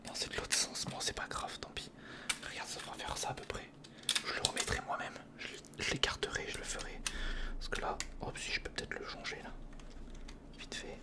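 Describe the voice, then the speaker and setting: An ASMR creator, speaking in a breathy whisper close to the microphone, casually talking through hands-on work and repeating short phrases. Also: a little fast. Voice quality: breathy, mostly unvoiced whisper. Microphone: close studio recorder microphone.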